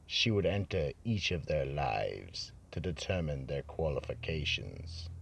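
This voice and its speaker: A man playing a brooding vault keeper, narrating a horror radio drama in a slow, ominous, theatrical manner. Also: extremely low pitch, big pitch swings, vocal fry, fairly bright tone, breathy edge, full character voice. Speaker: horror anthology host and narrator.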